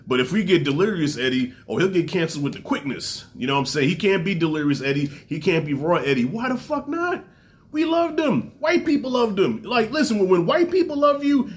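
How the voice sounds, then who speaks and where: Congested, animated man in his forties. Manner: loose, profane and animated, with big swings in pitch. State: congested, stuffy nose. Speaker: comedy special reviewer. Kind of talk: casual spoken review to camera.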